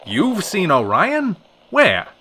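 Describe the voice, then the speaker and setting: A man's voice: high voice, wildly swooping pitch, slow, sharp tone, husky, full character voice. An actor playing a teasing, showy male host in a 1940s-style radio drama. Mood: prying.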